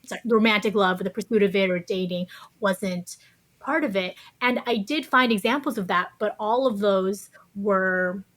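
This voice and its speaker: A woman's voice: mid-range voice, normal speed, fairly bright tone, no echo. Thoughtful woman guest, dry close sound. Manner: reflective, explanatory, often says "you know". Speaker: podcast guest, author. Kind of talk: thoughtful interview conversation.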